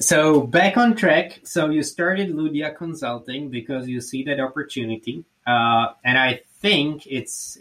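Slovak guy hosting a business chat, friendly, sums things up, average pitch. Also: a bit bright. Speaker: interview host. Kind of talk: business interview.

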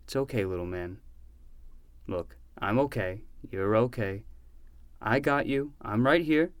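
A man playing a protective, plain-spoken uncle in a fiction podcast, gentle and reassuring though exasperated. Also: on the low side, a little slow, no echo, natural voice. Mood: soothing.

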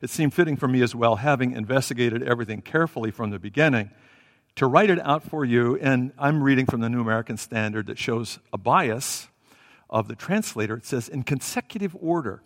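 A man's voice: mid-range voice, fairly quick. A man preaching a sermon through a headset microphone, talking conversationally with fillers and restarts. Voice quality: self-described loud voice. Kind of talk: sermon with Bible reading and prayer. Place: church sanctuary. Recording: reverberant hall.

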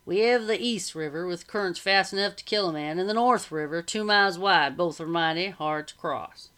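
A woman voicing a blunt, sceptical working man in a storybook reading, loud and insistent. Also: on the low side, normal speed, close to an everyday voice.

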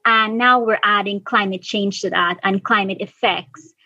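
A woman's voice: mid-range voice, steady tone, a little slow, sharp tone, no room sound, highs cut off. A woman from Guyana in her thirties, an environmental officer answering interview questions earnestly, thinking aloud as she goes.

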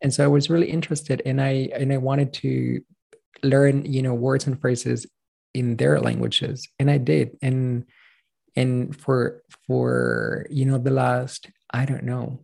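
A man's voice: medium pitch, unhurried, creaky, mellow. A Chilean man in his thirties, a native Spanish speaker, telling his story as a pronunciation coach in a casual interview, earnest and rambling with restarts.

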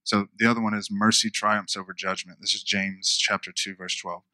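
A man preaching a conversational sermon, repeating phrases for emphasis, with a smooth radio-style voice. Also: fairly low pitch, little pitch movement, moderate pace, sharp tone, no echo, poor audio. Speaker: pastor.

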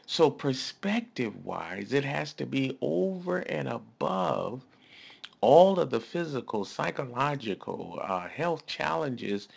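A man preaching a conversational sermon in an emphatic, folksy way, often checking in with the listener with quick rhetorical questions. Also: mid-range voice, very animated, creaky, husky. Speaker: pastor.

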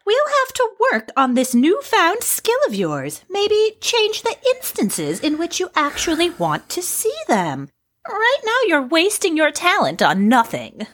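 A woman playing an imperious, whimsical sorceress in a comedic fantasy audio drama, with a melodramatic, haughty, drawn-out delivery. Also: extremely high pitch, huge pitch swings, a bit bright, heavily acted. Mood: teasing, aloof. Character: imperious, theatrical, whimsical sorceress mentoring an apprentice.

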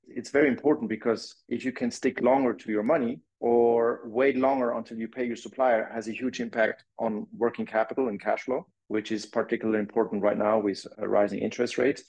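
A man's voice: mid-range voice, a little mellow, slightly rough, low-quality recording. A man from Switzerland with a French-Swiss accent, a company founder explaining his business step by step as a podcast guest. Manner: explanatory, walks through points step by step, non-native phrasing.

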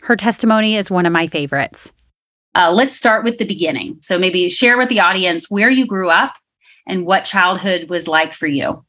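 A woman's voice: on the low side, expressive, moderate pace, fairly bright tone. Woman hosting a ministry interview. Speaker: host.

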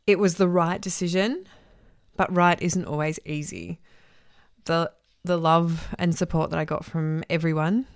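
A woman hosting her own podcast as a transformation coach, talking alone in an effusive way, often repeating herself for emphasis, with a voice gone husky from a week-long cough. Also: low voice, dry recording, studio quality. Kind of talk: solo podcast monologue.

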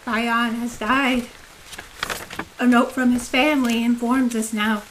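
A woman playing a dutiful, grave aide to a ruler in an audio drama, measured, formal and deferential. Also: on the high side, level tone, slight background noise, low-quality recording. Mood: somber.

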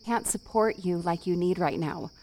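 A woman's voice: on the low side, moderate pace, darkish tone, a little hiss or hum, natural voice. A woman acting in a fiction podcast as a cocky, playful and fiercely supportive wife, with a casual, emphatic, teasing delivery. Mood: tender, firm.

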